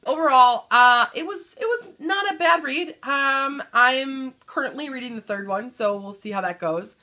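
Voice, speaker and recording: Woman, 30s, casual home vlogger; upbeat and chatty, slightly high voice, recorded close on a camera mic. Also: expressive, moderate pace, sharp tone. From Michigan. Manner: chatty, upbeat, trailing off at ends of thoughts. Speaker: book vlogger. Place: small room indoors at home.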